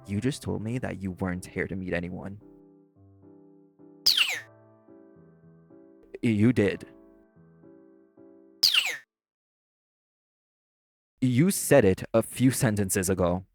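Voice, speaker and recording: An actor playing a primly formal, sarcastic, exasperated waiter in an audio roleplay. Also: male voice, low-pitched, moderate pace, creaky, over music. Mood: strained politeness.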